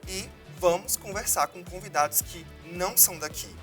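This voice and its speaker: A man's voice: high voice, moderate pace, recorded from a distance, music in the background. A man hosting a university videocast from a theater, reading formal introductions. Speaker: host.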